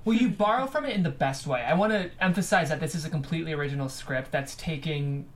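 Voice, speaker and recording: A woman's voice: low voice, quick pace. A woman hosting a conversational panel interview, speaking in an articulate, analytical way. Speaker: panel host interviewing a writer.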